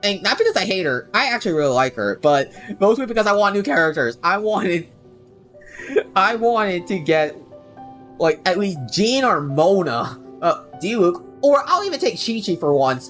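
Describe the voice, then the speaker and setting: High-voiced man rambling on a game stream. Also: sing-song, fairly bright tone. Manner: rambling, halting, doubles back on himself. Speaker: game livestreamer. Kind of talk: casual chat with livestream viewers. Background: game music.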